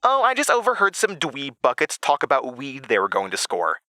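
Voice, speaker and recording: A man's voice: medium pitch, huge pitch swings, moderate pace, sharp tone, no room sound, studio quality, voice pushed up. A man voicing a smirking, gloating thirteen-year-old bully girl with a taunting manner in a horror audio drama. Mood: smug, taunting. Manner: taunting, sneering.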